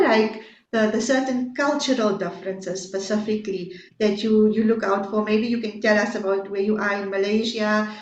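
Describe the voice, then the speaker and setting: A woman's voice: medium pitch, a little hiss or hum. A woman hosting a podcast interview, asking long, rambling questions full of fillers and restarts. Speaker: podcast host. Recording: roomy, dull lo-fi sound.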